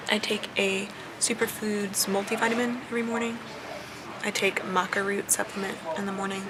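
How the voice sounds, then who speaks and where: A young American woman with a lot of creak in her voice talks casually, like a vlogger answering questions for her viewers. She chews gum as she goes. Clear ambient noise sits behind her. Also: mid-range voice. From southern United States. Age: young adult. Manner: chewing gum while talking.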